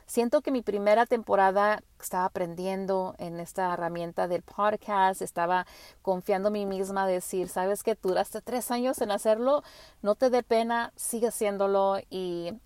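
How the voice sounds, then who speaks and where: A woman whose first language is English hosting her spiritual podcast, talking gently with frequent restarts and fillers. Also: medium pitch, steady tone, moderate pace, dry recording. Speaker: podcast host. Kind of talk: casual spiritual monologue.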